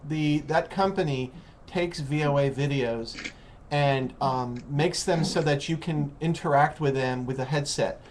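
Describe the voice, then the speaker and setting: A man with an American accent hosting a casual interview, explaining in a halting way and rephrasing as he goes. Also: on the high side. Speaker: host of an English-learning call-in program. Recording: noticeable background noise.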